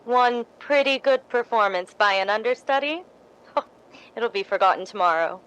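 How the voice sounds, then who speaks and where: A woman's voice: fairly high pitch, lively intonation, normal speed, a bit bright, smooth, low-quality recording. Woman playing a coy young stage actress. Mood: falsely modest. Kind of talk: old-time radio drama acting.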